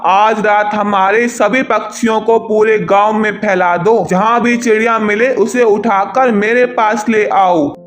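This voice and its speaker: A man voicing a greedy, scheming eagle village chief with a commanding, calculating manner in a children's animated story. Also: high-pitched, a little slow, few pauses.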